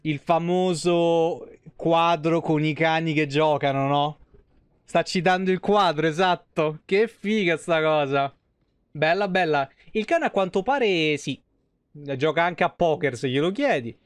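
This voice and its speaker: A man's voice: a little high, unhurried, clear, resonant tone, dry recording. A man, chatting casually and colloquially with viewers as he plays. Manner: colloquial, swearing. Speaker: game streamer. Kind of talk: casual live game commentary, chatting with viewers. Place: small room at home.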